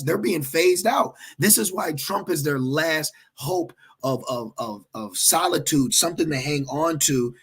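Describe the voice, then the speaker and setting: Husky-voiced man preaching emphatically. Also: fairly high pitch. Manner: emphatic, repetitive, frequent fillers. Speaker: religious teacher. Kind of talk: preaching commentary.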